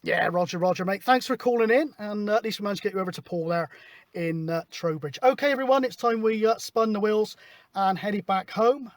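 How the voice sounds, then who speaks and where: A man's voice: high voice, brisk, no room sound. A man chatting casually and matily as he runs a CB radio session. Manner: informal, matey. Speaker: CB radio operator, host. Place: outdoors at a hilltop spot, mobile radio setup.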